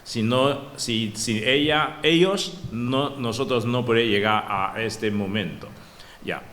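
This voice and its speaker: A man from Taiwan giving a conference talk in halting non-native Spanish, with many broken-off words and restarts. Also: mid-range voice, a bit bright. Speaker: panel speaker, researcher. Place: conference hall. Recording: long hall reverb, faint room noise.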